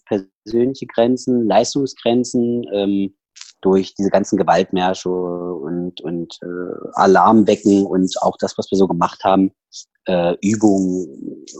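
A man's voice: sits low, mellow, no echo, low-quality recording. A man with a Saxon accent, a podcast guest talking casually over a headset, rambling with many fillers and restarts. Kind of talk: casual personal interview talk.

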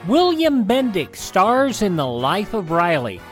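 A man's voice: high-pitched, huge pitch swings, measured pace, a bit bright, soft background music. A man hosting a radio show with folksy, scripted narration. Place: dry studio.

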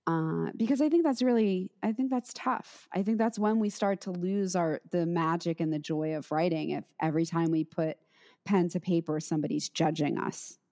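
A middle-aged woman teacher in casual conversation, speaking reflectively with frequent pauses and restarts. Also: lowish voice, dark tone. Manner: reflective, halting with many restarts.

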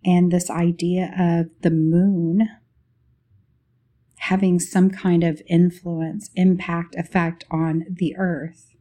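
A woman leading a guided spiritual meditation as host, speaking in short, unhurried phrases with long pauses. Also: fairly low pitch, flat delivery, dark tone.

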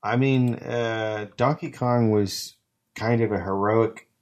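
A man's voice: lowish voice, steady tone, slow pace. A man in his forties musing in a rambling, casual way as a podcast co-host. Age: 40s. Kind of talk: casual chat.

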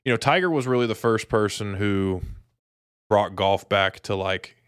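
Smooth, low-voiced man talking sports. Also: moderate pace, no room sound, studio quality. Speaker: sports podcast co-host. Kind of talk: casual sports chat.

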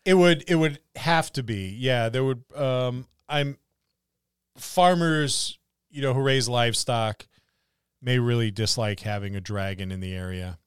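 A man's voice: medium pitch, measured pace, smooth, no room sound, studio quality. A man co-hosting a podcast, chatting casually about tabletop gaming in a rambling, musing way. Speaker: podcast co-host.

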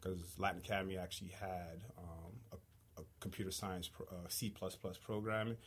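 A man in his thirties from Haiti, a guest talking casually in an interview, relaxed with lots of fillers. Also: low voice, brisk, husky. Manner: relaxed, laughs easily, many fillers.

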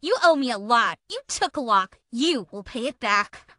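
Woman acting shrill, melodramatic female bully. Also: animated, sharp tone, no echo, studio quality. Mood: menacing, boastful. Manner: melodramatic, hectoring, drawn-out emphatic words. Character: aggressive, boastful woman harasser ranting and threatening. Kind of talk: dramatic reading of a confrontation.

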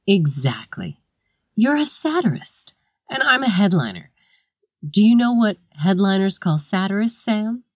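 A woman's voice: medium pitch, sing-song, a little slow, no echo, clean studio sound. A woman playing a scathing, foul-mouthed comedian in a scripted comic argument, with a sarcastic, cutting delivery. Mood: scornful. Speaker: guest comedian in a scripted satire sketch.

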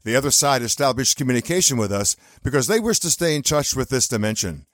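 An older man with a deep, rich radio voice, reading a scripted show introduction in a polished announcer style. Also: expressive, quick pace, no room sound, studio quality. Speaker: podcast co-host.

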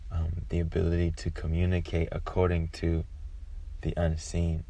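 A man with a low, flat voice gives an informal devotional talk. His delivery is reflective and halting, often pausing in the middle of a phrase, with a heavy vocal fry running through it.

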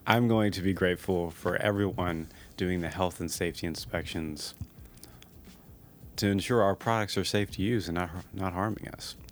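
A man's voice: low-pitched, normal speed, a little hiss or hum. A man co-hosting a casual podcast, chatty and upbeat, laughing easily as he shares stories. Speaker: podcast co-host.

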